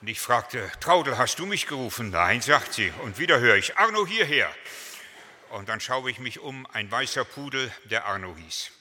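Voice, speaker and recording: Older male pastor, 70s, very bright and forceful voice, chatty anecdotal preaching, long hall reverb. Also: mid-range voice, sing-song, normal speed. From Germany. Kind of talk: sermon.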